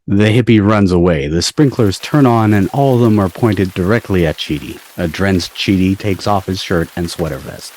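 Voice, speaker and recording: A man's voice: sits low, normal speed, hardly any pauses, darkish tone. A man on a video call reading stage directions as narrator of an amateur table read.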